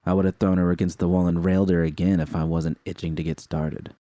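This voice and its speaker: Deep, dark-voiced man reading a story. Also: little pitch movement, fast, no room sound, clean studio sound. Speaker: fan fiction reader. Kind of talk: first-person story narration.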